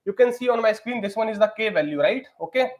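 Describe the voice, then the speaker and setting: A man's voice: high-pitched, animated, fast. A man teaching a live-streamed exam prep lesson, leaning on fillers and repeatedly asking viewers to comment.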